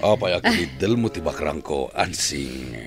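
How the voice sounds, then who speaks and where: A man playing a gruff, lovelorn older prisoner in a comedic radio drama, gravelly voiced, with a Filipino Ilocano accent. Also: lively intonation, moderate pace. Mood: puzzled. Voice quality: gravelly, very deep. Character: gruff older male prisoner, lovelorn and teasing, called uncle. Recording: faint music bed.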